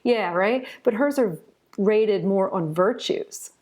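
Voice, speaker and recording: A woman's voice: mid-range voice, slow, dark tone. A woman speaking as a guest in a conversational interview, earnest and emphatic, often repeating words for stress.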